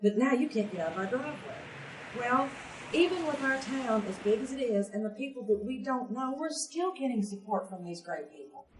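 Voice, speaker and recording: A woman's voice: a little high, lively intonation, fairly quick. A woman, speaking in a folksy, conversational interview style as a member of a community theatre troupe. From Jessamine County, Kentucky. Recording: roomy echo, distant microphone.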